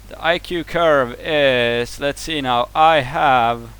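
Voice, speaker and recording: A man's voice: mid-range voice, slow, fairly bright tone, clean tone. Fired-up Swedish-accented man ranting. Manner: heated, profane, stumbling restarts. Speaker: vlogger reacting to a video. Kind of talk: angry rant to camera.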